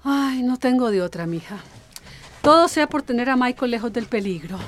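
An actress as a dramatic, chatty older mother in a radio serial, with a high voice. She exclaims and gushes with affectionate pet names, over faint background noise. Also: lively intonation, normal speed, lightly acted. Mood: resigned. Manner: effusive, exclaiming, uses affectionate diminutives.

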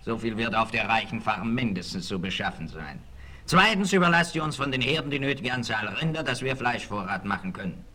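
A sneering bandit boss with a sharp, medium-pitched voice. He talks with mock politeness and menace in old western radio-play style. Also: animated, brisk. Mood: cold, threatening. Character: sneering, cunning male bandit leader. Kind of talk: 1960s German radio-play acting.

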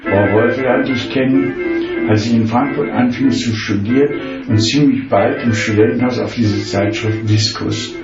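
An older man recalling people he knew as a student, in a conversational interview. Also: lowish voice. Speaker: writer being interviewed. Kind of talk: conversational recollection. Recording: roomy, slightly dull, distant interview recording.

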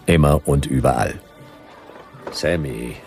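A man playing a laconic, world-weary detective narrator in a radio drama, terse, deadpan and measured. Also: a bass voice, vocal fry, soft background music, full character voice. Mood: wry, grave.

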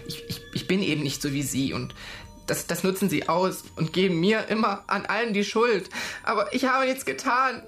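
A teenage schoolboy in a radio crime drama. He is frightened and defensive, stammering and halting through his answers, with faint music behind him. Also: sits high, fairly bright tone. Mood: defensive, distressed. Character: frightened, defensive sixteen-year-old schoolboy under interrogation.

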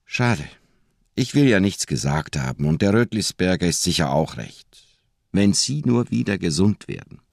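Very deep, gravelly big policeman. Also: big pitch swings, moderate pace, no room sound, clean studio sound, heavily acted. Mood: fond, regretful. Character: big, fat, loyal, simple-hearted policeman and former chauffeur. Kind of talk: radio drama acting.

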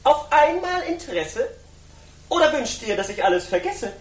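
An actress playing a mocking, mischievous court jester in a radio drama, talking in sing-song, sardonic rhymes. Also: female voice, high voice, very animated, moderate pace, slight background noise. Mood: taunting. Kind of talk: German children's radio drama acting.

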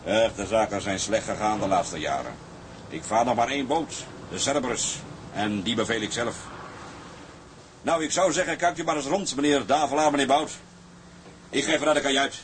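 A man's voice: medium pitch, moderate pace, pauses often, natural voice, rough recording. A hearty tugboat captain acted by a man, plain-spoken and direct. His voice sits in a middle register, with faint noise behind the drama dialogue. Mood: proud, courteous. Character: hearty male tugboat skipper selling his beloved ship. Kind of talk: Dutch audio drama dialogue.

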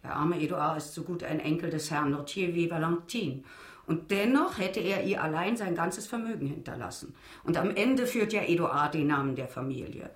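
A woman's voice: low-pitched, normal speed, reverberant, close to an everyday voice. A woman in a German radio drama playing a genteel society wife and mother, polite, refined and mildly resentful. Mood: aggrieved.

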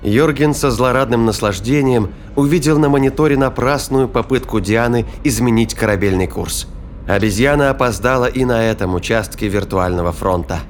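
A man's voice: mid-range voice, moderate pace, soft background music. A man narrating a science fiction thriller as scripted, dramatic audio fiction.

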